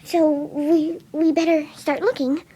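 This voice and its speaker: A woman performs a shy female pegasus pony in a fan-fiction story. The voice is extremely high and childlike. She speaks gently and hesitantly, stumbling over words with a nervous, caring temper. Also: little pitch movement, takes it slowly. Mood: anxious. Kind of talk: fan fiction dramatic reading.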